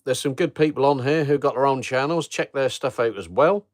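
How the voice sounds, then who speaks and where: A middle-aged man with a Norfolk accent, hosting a relaxed live chat for viewers of his travel channel, rambling with ums and chuckles. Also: mid-range voice, brisk, no room sound, clean studio sound. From Norfolk, England. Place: home at night, streaming on a phone. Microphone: phone held in portrait mode.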